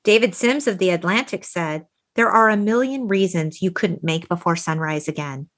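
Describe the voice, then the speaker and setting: Expressive woman hosting a podcast. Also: fairly low pitch, normal speed. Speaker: podcast host. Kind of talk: animated film chat, retelling and reading out quotes.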